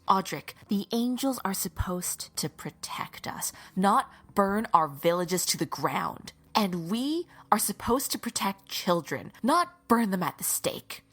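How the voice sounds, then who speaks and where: Fantasy audio drama: actress for devout female guardian warrior, outraged and confrontational, slow, weighty delivery, husky tone. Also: medium pitch, a bit bright, heavily acted. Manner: emphatic, confrontational, impassioned.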